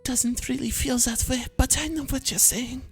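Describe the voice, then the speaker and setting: A woman's voice: sits high, steady tone, moderate pace, slightly rough, soft background music, exaggerated voice. A woman voicing a nervous, insecure teenage boy who speaks hesitantly. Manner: hesitant, self-deprecating.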